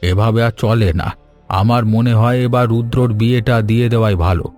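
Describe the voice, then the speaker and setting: A caring family man from an animated drama, played by a man. His voice sits at a middle pitch, with an anxious, protective manner over background music. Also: fairly quick, lightly acted. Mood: concerned. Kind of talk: animated family story dialogue.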